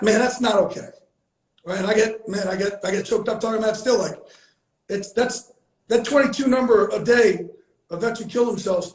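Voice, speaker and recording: A man with a high voice speaks with blunt, emphatic conviction, swearing freely and hammering his points through repetition. It is a casual, heartfelt conversation recorded in a room with a noticeable echo. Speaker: host. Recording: echoey room.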